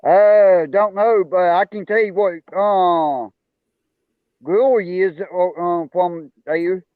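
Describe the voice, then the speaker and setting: An older man at home joining a casual stream chat, folksy and hesitant, full of pauses. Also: high-pitched, fairly even intonation, dry recording. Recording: tinny phone-like line.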